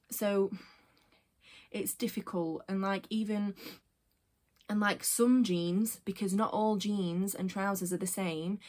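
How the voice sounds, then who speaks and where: Rambling chatty woman in her twenties. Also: on the low side. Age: 20s. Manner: rambling, chatty, full of fillers and tangents. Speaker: vlogger. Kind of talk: casual personal vlog.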